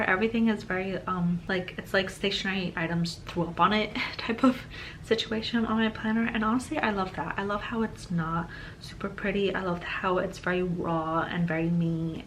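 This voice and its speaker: A woman's voice: fairly low pitch, fairly bright tone, slight background noise, poor audio. A woman in her thirties talks through her day in a loose, chatty voice-over. She rambles a little and leans on "um" and "like." Her voice is slightly off from a cold she is still getting over. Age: 30s. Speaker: planner vlogger. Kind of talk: casual voice-over narration.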